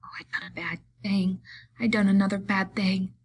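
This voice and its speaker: A woman's voice: lowish voice, normal speed, slight background noise, close to an everyday voice. A woman voicing a big, simple-minded, childlike man in audiobook dialogue, earnest and pleading, with a rustic ungrammatical drawl. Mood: frightened.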